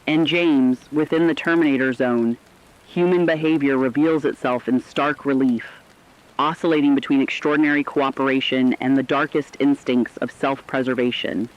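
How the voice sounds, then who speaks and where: Muffled lo-fi radio drama, guy as serious reporter, normal pitch, formal solemn measured narration. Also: steady tone, close to an everyday voice. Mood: grave. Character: grave radio co-reporter describing survivors of catastrophe.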